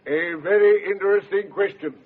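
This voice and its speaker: Pompous professor, very high-pitched voice. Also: male voice, normal speed. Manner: pompous, declamatory. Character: pompous self-promoting professor of marriage advice. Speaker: actor in a radio comedy. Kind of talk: old-time radio sitcom acting, mock lecture. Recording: muffled, noisy old radio recording, audience.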